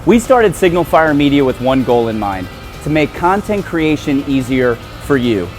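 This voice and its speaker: American man, radio host, slightly high voice, conversational promotional delivery, faint music underneath. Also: very animated, normal speed. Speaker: radio show host and business owner. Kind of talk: conversational hosting and promotional talk.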